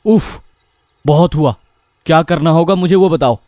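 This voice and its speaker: A man playing a lazy, sly, henpecked village husband in a children's cartoon story, with exaggerated, drawn-out exclamations. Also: a little high, a little mellow, dry recording, studio quality, lightly acted. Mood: weary, exasperated.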